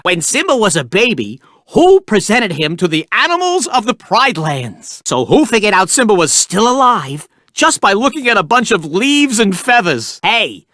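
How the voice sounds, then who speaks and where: A man's voice: high-pitched, fairly bright tone, no echo, clean studio sound. A man voicing a brash, wisecracking cartoon meerkat who hosts a video game quiz with theatrical joking asides. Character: wisecracking, hammy cartoon male meerkat sidekick. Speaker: quiz game host character.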